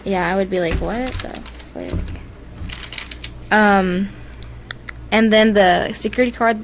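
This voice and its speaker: A woman's voice: mid-range voice, slow, background noise. A young woman with a Southern US accent telling ghost stories casually as a podcast co-host, informal and hesitant. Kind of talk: casual ghost-story chat.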